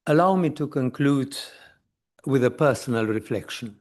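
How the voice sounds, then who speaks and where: An older man from Slovenia, delivering a formal prepared conference speech in a measured, deliberate way, his voice dropping low at the ends of phrases. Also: expressive. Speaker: conference speaker.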